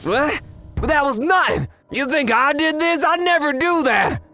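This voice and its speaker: An actor playing an earnest, devoted young man full of grief and vengeance in an emotional animated drama. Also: extremely high pitch, measured pace, heavily acted. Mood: shocked, desperate. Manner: intense, emotional, exclamatory. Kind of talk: dubbed anime-style dramatic acting.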